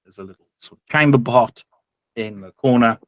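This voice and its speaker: A man's voice: mid-range voice, moderate pace, dry recording. A man improvising casual, loosely phrased narration as the game master of a tabletop game.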